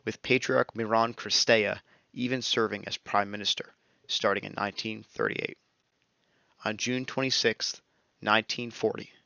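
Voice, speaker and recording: A young man narrating a scripted history lesson in a steady, measured reading voice, as a close voice-over. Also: lowish voice, creaky, dry recording. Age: young adult. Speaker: student narrator. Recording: dull lo-fi dry recording.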